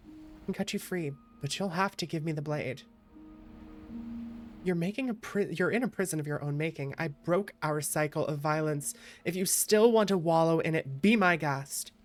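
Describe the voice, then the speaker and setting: A woman's voice: low-pitched, normal speed, faint music behind. A woman livestreaming a game playthrough as a VTuber, talking casually in a chatty, affectionate, playful manner. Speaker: VTuber streamer.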